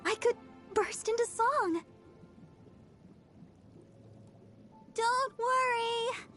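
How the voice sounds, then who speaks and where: Game casting: sweet young girl idol healer, extremely high voice, earnest and bubbly, music underneath. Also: slow pace, fairly bright tone, exaggerated voice. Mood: delighted. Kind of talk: video game character voice lines.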